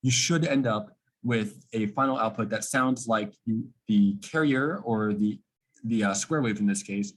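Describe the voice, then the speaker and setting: A man with a slightly husky voice giving an informal technical explanation, like a student presenting a project, posing questions and then answering them. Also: fairly low pitch, a little slow, poor audio.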